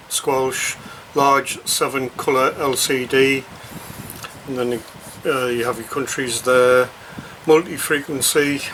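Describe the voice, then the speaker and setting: A man giving an unscripted, matter-of-fact unboxing commentary, halting as he thinks aloud. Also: medium pitch, sharp tone, rough recording. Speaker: radio hobbyist presenting an unboxing. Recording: noticeable background noise, crinkling packaging handling.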